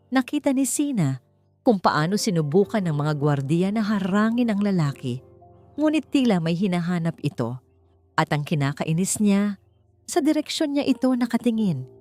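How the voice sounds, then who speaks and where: Expressive woman storyteller over soft music. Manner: expressive, rises in pitch for dramatic moments. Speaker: audiobook narrator. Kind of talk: scripted storytelling narration. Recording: faint background music.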